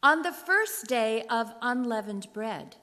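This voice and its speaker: High-voiced woman narrating scripture. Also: expressive, takes it slowly, sharp tone. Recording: reverberant hall.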